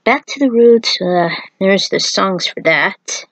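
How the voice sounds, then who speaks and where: A woman's voice: medium pitch, lively intonation, slow pace, dry recording, poor audio. A woman reading her own fan fiction aloud as an amateur narrator and adding author's notes. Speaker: fan fiction writer and podfic reader.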